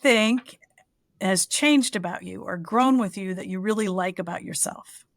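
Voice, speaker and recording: A woman in her sixties hosts an interview over a video call, upbeat and encouraging as she works through her set questions. Her voice sits at a middle pitch and sounds close and dry, with almost no room around it. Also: normal speed, no echo. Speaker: podcast host. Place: home room with pictures on the wall.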